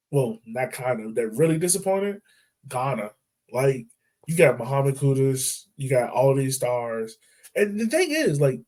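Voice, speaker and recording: A man hosting a casual football podcast, talking in a relaxed, chatty way and laughing easily. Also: medium pitch. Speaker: podcast host. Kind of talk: casual sports chat.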